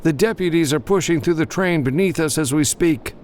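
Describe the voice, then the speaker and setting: A man voicing a gruff male freelance gunman in audiobook dialogue, calm and wry. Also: a little high, a little fast, a little hiss or hum, voice pushed up, natural voice. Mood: calm, commanding. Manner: calm, dry, wry under fire.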